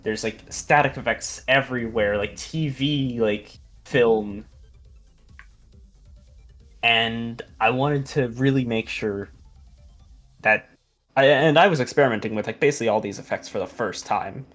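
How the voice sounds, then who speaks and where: Halting young adult livestreamer over music. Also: medium pitch, fairly bright tone. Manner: halting, rambling, informal. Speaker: video editor on a fan animation project. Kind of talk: casual behind-the-scenes livestream chat. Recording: music behind the voice.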